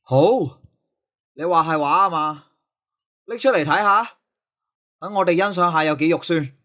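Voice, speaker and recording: A man's voice: on the high side, a little slow, a bit bright. A man playing a strict, suspicious school discipline master in an audio drama, scolding and interrogating. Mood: indignant, commanding. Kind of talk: Cantonese radio drama acting.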